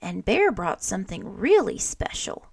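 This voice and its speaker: A woman's voice: unhurried, vocal fry, husky, no echo, exaggerated voice. A woman reading aloud and voicing an eager young boy turtle with a raised, pleading voice. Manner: high, excited pleading.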